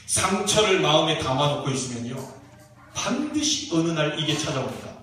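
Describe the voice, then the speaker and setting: A male pastor with a regional Korean accent preaches in a notably high voice. His emphatic exhortations and questions ring through a very echoey church, picked up from a distant mic with faint noise. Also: very animated, fairly bright tone. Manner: emphatic, exhorting, with rhetorical questions and drawn-out key phrases. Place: church sanctuary during a service.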